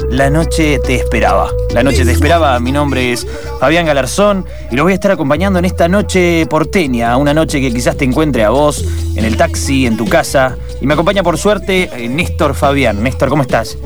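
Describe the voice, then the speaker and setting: A man's voice: mid-range voice, moderate pace, over music, close to an everyday voice. A man with a Buenos Aires accent playing a chatty late-night radio host in improvised radio theatre. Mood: chatty, welcoming. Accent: Argentine, Buenos Aires.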